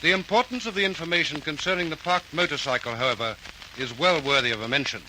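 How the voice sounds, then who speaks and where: A man narrates a radio crime series in a very bright, forceful and fairly high voice. His delivery is formal, earnest and measured. There is faint hiss of an old radio recording. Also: poor audio.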